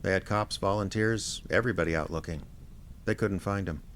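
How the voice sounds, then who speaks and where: An actor playing a laconic small-town private investigator, narrating his case in a deadpan, understated radio drama style. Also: low-pitched, brisk. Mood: quiet, probing. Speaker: narrator and lead character.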